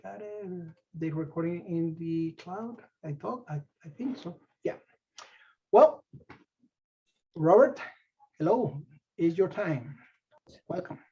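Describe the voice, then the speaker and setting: A slow-talking man with a husky, gravelly voice hosts a video call. He talks quietly and hesitantly, and his audio comes through muffled and lo-fi. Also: sits high. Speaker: meeting host. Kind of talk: casual setup chat on a call.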